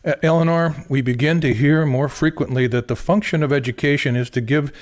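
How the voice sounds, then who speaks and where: An actor playing a courteous, devoted adviser to the First Lady in a radio play, speaking formally and deliberately. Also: a little high, steady tone, lightly acted. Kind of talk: radio theater dialogue.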